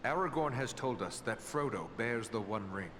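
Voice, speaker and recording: A man acts a courteous human ranger in a fantasy video game. The delivery is steady, formal and earnest at a middling pitch. Clear ambient noise sits behind the voice. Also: normal speed, natural voice. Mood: earnest, concerned.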